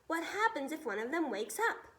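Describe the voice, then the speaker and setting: A woman's voice: high-pitched, big pitch swings, moderate pace, sharp tone, full character voice. Woman putting on a blustering army commander. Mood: anxious. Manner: pompous, clipped orders. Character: blustering but nervous male army commander.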